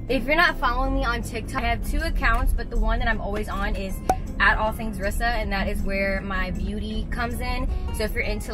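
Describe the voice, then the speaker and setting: A young woman in a casual, upbeat vlog, chatting from inside her car, filling her talk with "like". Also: on the high side. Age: young adult. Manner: chatty, upbeat, frequent "like" fillers. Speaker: vlogger. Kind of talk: casual drive-along vlog chat. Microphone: camera on the dashboard.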